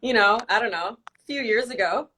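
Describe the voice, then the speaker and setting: A woman's voice: a little high, animated, moderate pace, pushed and bright, a little hiss or hum, rough recording. A young woman from Canada hosting a casual live-stream interview, teasing her guest and laughing often. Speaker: host.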